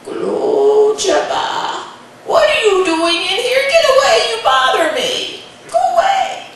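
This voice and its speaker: A woman storyteller voicing a wise, impatient old grandmother who scolds and shouts in a high, shrill voice. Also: huge pitch swings, slow pace, very echoey, distant mic, a little hiss or hum, exaggerated voice. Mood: scolding.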